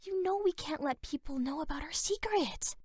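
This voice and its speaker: A woman's voice: high voice, lively intonation, moderate pace, a little mellow, no room sound, clean studio sound. A woman voicing a serene new age teenage girl in a cartoon, airy, flowery and affected in manner. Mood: airy, pleading.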